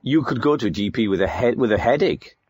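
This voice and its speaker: An actor playing a pompous food poisoning expert on a radio phone-in, formal, hesitant and stiffly sympathetic. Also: male voice, medium pitch, animated, fast talker, darkish tone, slightly rough, no echo, highs cut off. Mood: pedantic, defensive. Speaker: phone-in studio guest expert.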